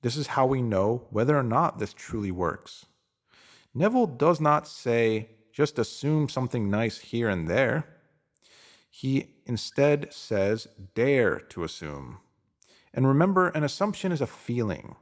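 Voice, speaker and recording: A man reading a scripted motivational spiritual piece in a deliberate, emphatic manner, pausing to give phrases weight. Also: mid-range voice, big pitch swings. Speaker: narrator reading a spiritual self-help post.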